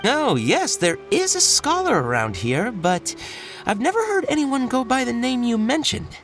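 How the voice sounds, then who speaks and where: A woman's voice: a little high, wildly swooping pitch, moderate pace, soft background music. A woman voicing a helpful young village woman in a game, hesitant and polite. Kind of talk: game voice acting.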